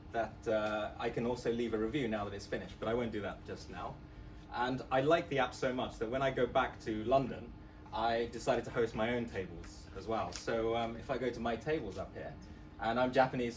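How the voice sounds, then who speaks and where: A man presents his app in a relaxed, chatty way, filling pauses with plenty of ums and uhs. His voice sits at a middle pitch. He is heard from some distance off the mic. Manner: casual, chatty, with many fillers. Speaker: student presenter. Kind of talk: live app demo walkthrough. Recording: voice from far off the mic.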